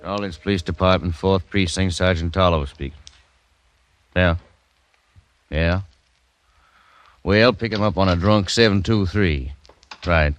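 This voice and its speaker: An actor playing a skeptical, colloquial New Orleans police desk sergeant in an old-time radio drama. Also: male voice, sits low, slight background noise. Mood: brisk, businesslike.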